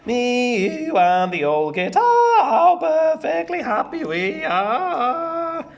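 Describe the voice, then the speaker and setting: A man's voice: very high-pitched, sing-song, slow, creaky, voice pushed up, heavily acted. A man voicing a friendly, devoted tabby cat from a storybook in a plaintive sing-song way. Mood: happy singing.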